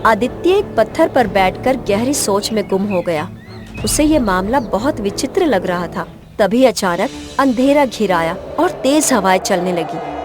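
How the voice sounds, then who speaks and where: A woman narrates a fairy tale in a medium-pitched voice. Her delivery is scripted and dramatic storytelling, with clear background music. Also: animated, unhurried.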